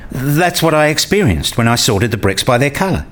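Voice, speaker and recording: A man's voice: mid-range voice, big pitch swings, moderate pace, close to an everyday voice. A man acting in a radio play as a weary, wryly self-mocking academic father who narrates his own story. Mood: wry, hesitant. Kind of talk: first-person narration and acted dialogue.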